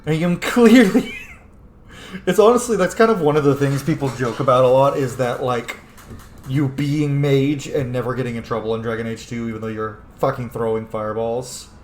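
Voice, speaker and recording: A man's voice: mid-range voice, moderate pace. A man giving casual, opinionated game commentary as he plays, swearing freely. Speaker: gaming streamer playing and commenting. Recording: roomy echo with faint noise.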